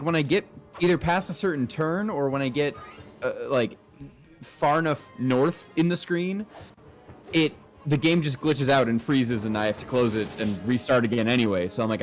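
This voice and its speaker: A man's voice: medium pitch, animated, a little fast, faint music behind. A man co-hosting a game livestream, chatting casually close to his mic on a voice call. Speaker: livestream co-host. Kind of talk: casual game stream chat.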